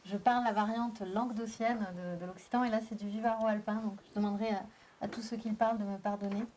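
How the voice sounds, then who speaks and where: A young adult woman from southern France, a poet speaking at a live round-table discussion in a thoughtful, halting way with frequent restarts. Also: medium pitch, fairly even intonation, a little mellow, a little hiss or hum. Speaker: poet and panel guest. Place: live public venue.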